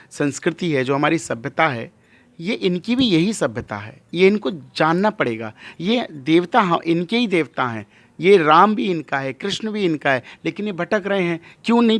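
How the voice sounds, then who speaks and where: High-pitched, emphatic male religious leader. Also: a bit bright, dry recording. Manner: emphatic, rhetorical questions and repetition. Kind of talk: impassioned interview monologue.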